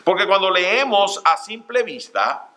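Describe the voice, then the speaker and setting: Bright-voiced preacher man, earnest sermon. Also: high-pitched, very animated, a little slow. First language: Spanish. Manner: earnest, addresses listeners as brothers, frequent fillers. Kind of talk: Spanish-language church sermon. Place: church sanctuary.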